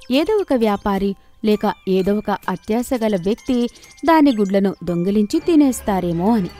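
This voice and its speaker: A woman narrating a children's animated moral story in a gentle, steady storytelling voice. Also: mid-range voice.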